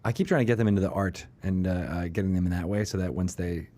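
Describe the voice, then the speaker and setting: A man's voice: sits low, fast, mellow. A man hosting a podcast in a casual, informal way, rambling a little as he chats. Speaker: podcast host. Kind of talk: casual chat.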